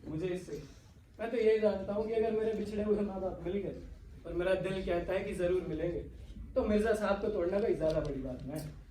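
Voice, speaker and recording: An actor playing an ardent young servant in love in a stage play, earnest and declamatory with florid romantic delivery. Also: male voice, sits high, brisk, dark tone, close to an everyday voice. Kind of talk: Hindi-Urdu stage play acting. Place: stage of a theatre hall. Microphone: far from the actors. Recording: echoey hall, distant mic, faint noise.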